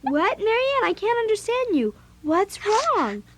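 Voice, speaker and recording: An actress playing a bossy, exasperated young girl who narrates and acts in a scripted audio drama, expressive and emphatic. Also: very high voice, unhurried, background noise, exaggerated voice. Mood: alarmed, questioning. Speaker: narrator and lead.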